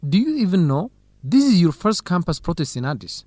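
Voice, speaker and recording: A man's voice: high voice, sing-song, brisk, dark tone, dry recording. A man playing a cautious, good-natured young graduate student in an educational radio drama. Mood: cautious, worried.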